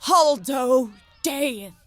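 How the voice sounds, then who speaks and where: A woman's voice: high voice, animated, very slow, a bit bright, faint music behind, full character voice. A woman playing a stern, vengeful magistrate in an audio drama, declaiming accusations with ragged emotion.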